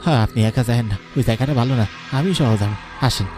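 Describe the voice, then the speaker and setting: A man's voice: mid-range voice, quick pace, a little mellow, faint music behind, lightly acted. A man playing a concerned, superstitious villager in a horror cartoon, earnest and cautioning, with a rural colloquial Bengali accent.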